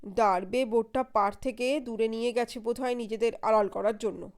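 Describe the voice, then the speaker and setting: Eager young male sleuth for an audio thriller, alert and insistent, raised pitch played by a woman. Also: steady tone, brisk, natural voice. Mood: reasoning.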